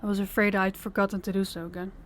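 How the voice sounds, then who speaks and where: A woman playing a weary, soft-spoken girlfriend. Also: medium pitch, little pitch movement, fast, close to an everyday voice. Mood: tired, affectionate. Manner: soft-spoken, intimate, often near a whisper. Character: weary, tender young working girlfriend. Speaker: voice actor. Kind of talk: audio roleplay.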